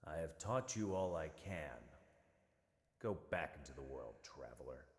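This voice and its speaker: A solitary old master of the blade for a video game. The voice is very deep and unhurried, stern and formal in its wording. It rings through a cavernous, long reverb. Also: male voice, lively intonation. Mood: solemn approval. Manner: grave, formal, measured. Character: stern, solitary old male nail master teaching swordsmanship. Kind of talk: scripted video game dialogue.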